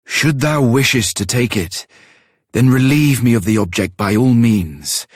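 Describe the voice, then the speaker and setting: Fantasy game role: courtly, musing male artisan, archaic diction, husky and unhurried. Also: mid-range voice, no echo, studio quality. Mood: solemn, musing. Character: solemn archaic-spoken male sculptor guarding an old sword. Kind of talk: formal archaic English, theatrical game voice acting.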